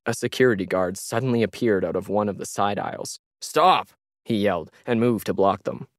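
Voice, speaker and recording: A man's voice: fairly low pitch, a little fast, darkish tone, dry recording, clean studio sound. A man reading a scripted science fiction story as its narrator.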